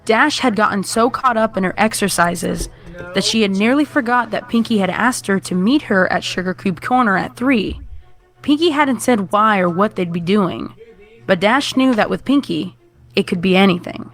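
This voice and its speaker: A woman's voice: mid-range voice, normal speed, fairly bright tone. Woman narrating a story over music. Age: 20s. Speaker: fan fiction narrator. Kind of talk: scripted horror story narration. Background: background music.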